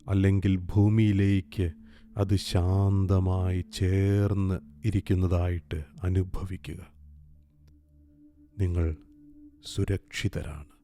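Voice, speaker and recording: A man guiding a meditation in a calm, gentle, soothing way, leaving long pauses. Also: low voice. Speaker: meditation guide. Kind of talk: guided meditation, slow soothing instruction. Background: soft meditation music with singing bowl.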